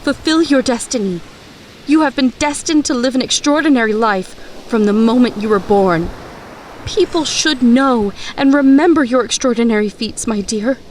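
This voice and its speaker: A woman voicing a loving, worried mother in an animated story, speaking tenderly and solemnly. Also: fairly high pitch, moderate pace, slight background noise, natural voice. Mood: earnest. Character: loving mother of a young hero, worried, wise.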